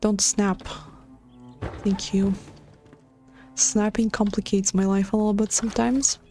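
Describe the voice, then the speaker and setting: Flat, mellow woman with a Latvian accent. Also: medium pitch, measured pace, soft background music. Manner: hesitant, many filler sounds and restarts. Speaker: streamer. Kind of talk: casual live-stream chat while building in a game.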